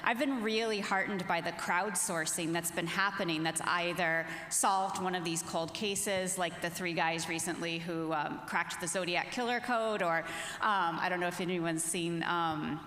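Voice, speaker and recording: A woman's voice: on the low side, fairly even intonation, measured pace, pushed and bright, a little hiss or hum. A woman speaking as an author and journalist on a panel, in an earnest, informal, conversational way. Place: large reverberant festival venue. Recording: echoey hall with long reverb.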